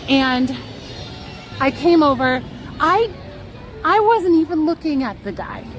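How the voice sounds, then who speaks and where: A woman's voice: sits high, expressive, slow. A woman live-streaming from a theme park, telling a story in a chatty, giggly, self-deprecating way. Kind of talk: casual storytelling to a live chat. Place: outdoors at a theme park on a rainy day. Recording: park music and crowd noise behind.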